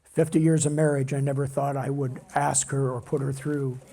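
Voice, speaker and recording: An older man giving an earnest, halting public speech as a patient. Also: mid-range voice, level tone, gravelly, mellow, slight background noise. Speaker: patient speaking at an event.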